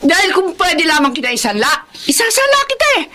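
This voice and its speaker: A woman acting a blunt, sharp-tongued Filipino wife and mother, fed up, in a radio drama. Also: very high voice, sing-song, fast talker, a little hiss or hum, exaggerated voice. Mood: scolding. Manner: scolding, blunt.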